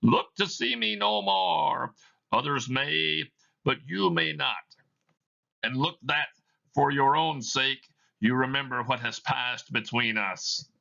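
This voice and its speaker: A man voicing a tormented, ominous ghost of a dead businessman in solemn, drawn-out, wailing declamation for a stage play reading. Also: mid-range voice, creaky, sharp tone, dull audio, voice pushed up, exaggerated voice. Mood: solemn warning.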